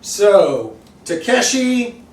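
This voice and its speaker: High-voiced joking male game master. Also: big pitch swings, extremely slow. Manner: joking, sarcastic. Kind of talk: running a tabletop game. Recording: echoey room, far from mic, faint noise.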